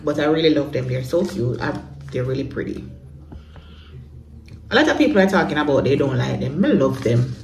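A young Jamaican woman chats easily into her phone camera at home, her voice sitting on the lower side for a woman. She talks loosely and relaxed and drifts into patois here and there. A faint hiss sits under the recording. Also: poor audio. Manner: chatty, relaxed, slips into patois. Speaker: vlogger. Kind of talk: casual vlog chat to camera. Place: small home bathroom and bedroom, indoors. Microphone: phone camera at arm's length.

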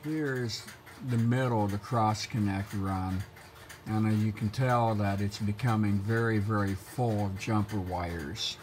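A man's voice: fairly low pitch, fairly even intonation, unhurried, a little hiss or hum. A man narrating an explanatory demonstration in a methodical, matter-of-fact, technical manner. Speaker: hobbyist presenter.

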